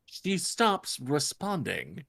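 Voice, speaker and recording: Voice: mid-range voice, huge pitch swings, extremely slow, creaky, fairly bright tone. A podcast host reading out script stage directions at a casual table read, with sarcastic joking commentary and frequent swearing.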